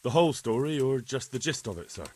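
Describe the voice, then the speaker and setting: A man's voice: mid-range voice, animated, fast talker, darkish tone, close to an everyday voice. A man playing an earnest, deferential retainer in a video game, speaking respectfully and plainly to his superior. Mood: respectful, reporting. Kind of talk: video game cutscene acting.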